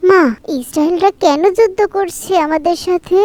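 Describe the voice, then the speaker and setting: An earnest little schoolgirl in a cartoon, voiced by a woman, with a very high, piping voice. She speaks politely and eagerly, like a dutiful child running errands. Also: fairly even intonation, moderate pace, darkish tone. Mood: worried. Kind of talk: cartoon voice acting.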